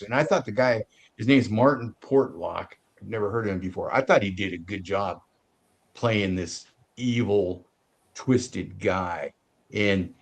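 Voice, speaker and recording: A man giving a casual movie review as a show host, haltingly searching for his words. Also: lowish voice.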